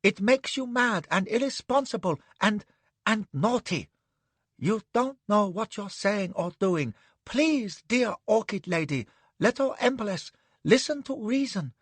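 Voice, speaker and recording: A man voicing a frantic, squealing man, a eunuch at a palace, high-pitched and near tears. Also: animated, a little slow, fairly bright tone, slightly rough, no room sound, full character voice. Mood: frantic pleading.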